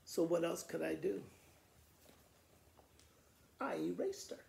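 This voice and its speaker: Gravelly older man reading children's poems. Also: a little high, expressive, slow pace, darkish tone, a little hiss or hum. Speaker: school principal reading to students. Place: living room by a fireplace, indoors. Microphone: camera a short distance away. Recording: roomy indoor echo.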